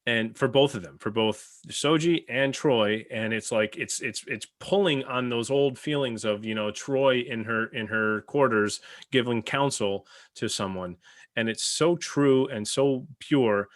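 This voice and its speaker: A man's voice: mid-range voice, unhurried, no echo, clean studio sound. A man speaking earnestly and with opinion in a casual panel discussion, as a podcast panelist.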